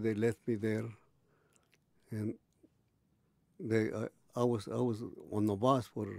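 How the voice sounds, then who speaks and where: A man in his eighties recalling his early life in an oral history interview, halting and restarting as he searches for words. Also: lowish voice, gravelly, mellow, dry recording. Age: 80s. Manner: halting, many restarts and pauses.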